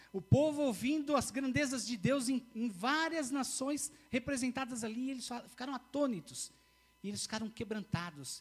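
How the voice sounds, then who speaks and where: A man with a high voice preaches in a church. He speaks earnestly and repeats his key phrases for emphasis, often breaking off to restart a sentence. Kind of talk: sermon.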